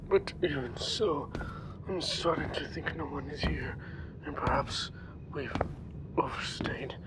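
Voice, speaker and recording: A man playing a proper, irritable young academy man in a narrative podcast drama, with formal, measured phrasing. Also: on the high side, whispered, rough recording. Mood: hushed, pondering. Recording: background music under voice.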